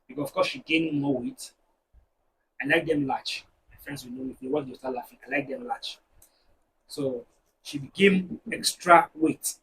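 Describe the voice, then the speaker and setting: A man with a Nigerian accent, a married guest on a discussion show, talking in an animated, preachy way and telling stories to make his points. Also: medium pitch, normal speed, long pauses, far from the mic, a little hiss or hum, low-quality recording. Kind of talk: casual panel discussion.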